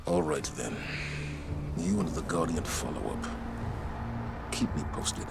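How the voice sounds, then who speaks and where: A man's voice: extremely low pitch, lively intonation, a little slow, vocal fry, loud music behind the voice, heavily acted. A man voicing a stern veteran military commander in a video game, speaking firmly and with authority. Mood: grave. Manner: firm, authoritative, clipped. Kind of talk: video game cutscene voice acting. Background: orchestral game score.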